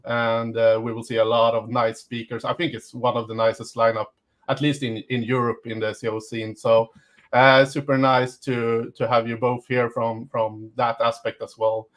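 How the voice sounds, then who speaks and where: A man's voice: medium pitch, level tone, normal speed, a bit bright. A man hosting a live webinar Q&A, talking with many hesitations and fillers. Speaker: host.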